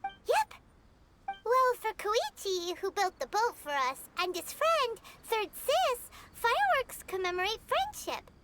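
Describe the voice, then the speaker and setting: Tiny squeaky fairy sidekick, super bubbly, voice bouncing everywhere, game music behind. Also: female voice, takes it slowly, heavy background noise, full character voice. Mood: chirpy. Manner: bubbly, excitable, refers to herself by name. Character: small chirpy female fairy-like travel companion. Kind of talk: video game dialogue.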